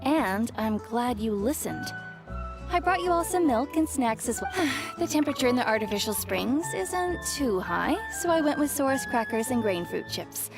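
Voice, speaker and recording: An actress playing a caring but strict auntie in an anime game, instructive and gently bossy. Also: female voice, fairly high pitch, expressive, moderate pace, music in the background. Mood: doting. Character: caring, strict auntie who dotes and scolds.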